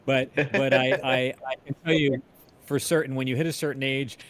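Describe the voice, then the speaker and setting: A middle-aged or older man chatting casually, self-deprecating and halting as he talks. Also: medium pitch, fairly even intonation, fairly bright tone. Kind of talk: casual chat.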